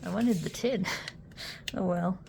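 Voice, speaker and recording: A woman with a cold, sniffly, doing an unboxing with a halting, hesitant way of talking and many fillers. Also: on the low side, vocal fry, noisy background. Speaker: unboxing video host. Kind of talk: casual unboxing commentary.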